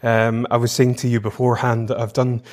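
A man's voice: medium pitch, completely flat delivery, a little fast, darkish tone. A man hosting an interview podcast, polite and encouraging toward his guest. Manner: polite, encouraging, frequent affirmations. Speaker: podcast host.

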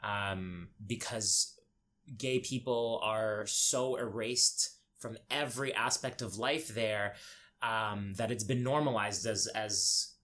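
A young man from Egypt speaking candidly as a podcast guest, in a reflective, halting way with pauses. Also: fairly low pitch, fairly bright tone. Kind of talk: candid personal interview. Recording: clear background noise.

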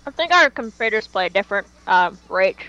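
A woman's voice: medium pitch, very animated, brisk, bright, forceful tone, dull audio, soft background music. A woman chatting casually as co-host of a fan podcast, talking in a reminiscing way.

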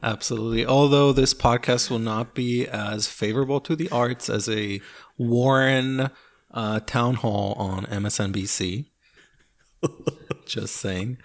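A man's voice: medium pitch, steady tone, measured pace. A man who speaks English as a second language, co-hosting a podcast in wry, joking casual chat from a home studio. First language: not English. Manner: wry, joking, laughs often. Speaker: podcast co-host.

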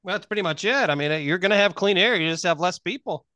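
A man's voice: high-pitched, expressive, quick pace, sharp tone, clean tone. A man co-hosting a radio show in casual banter, repeating phrases for emphasis.

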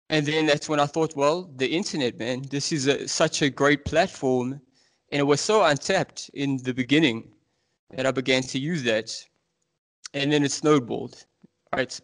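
A man's voice: medium pitch, a bit bright, poor audio. Passionate South African man. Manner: earnest, fast-flowing, passionate explaining. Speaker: podcast guest. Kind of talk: casual interview talk.

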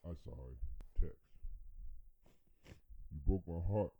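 A man's voice: sits low, slow, phone-quality sound. A man playing a dim-witted, lovesick male prisoner in his forties, with slangy, rambling speech that stumbles over its words. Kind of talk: comedy skit acting.

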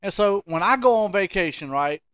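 Animated storytelling guy, higher-pitched voice. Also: brisk, clear, resonant tone, no room sound. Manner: colloquial, emphatic, repeats phrases for effect. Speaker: podcast co-host. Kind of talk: casual comic storytelling.

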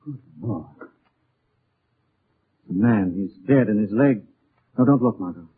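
Grave gentleman sleuth, husky voice. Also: on the low side, lively intonation, exaggerated voice. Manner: grave, deliberate. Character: composed, deductive gentleman sleuth. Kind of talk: 1940s radio acting. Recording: muffled, narrow-band 1940s radio recording.

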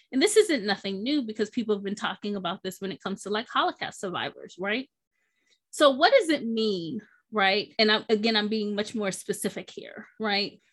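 A woman's voice: medium pitch, fairly bright tone. A woman speaking candidly and reflectively in conversation, with emphasis, rhetorical questions and frequent check-ins with the listener.

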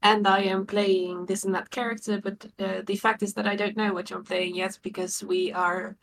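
A woman's voice: medium pitch, level tone, moderate pace, creaky, a bit bright, rough recording. A woman from the Netherlands, a writer and player, talking in a wry, self-aware way with laughter. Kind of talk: casual podcast chat.

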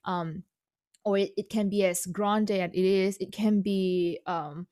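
Female composer guest, Indonesian native speaker, mid pitch, halting, dry recording. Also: fairly even intonation, dark tone, smooth, no room sound. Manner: halting, many fillers and restarts, laughs often. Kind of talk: casual interview.

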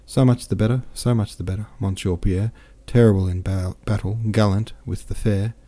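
A man's voice: lowish voice, fairly even intonation, normal speed, warm tone, natural voice. A man voicing a jovial, boastful French army captain who chatters in exclamations.

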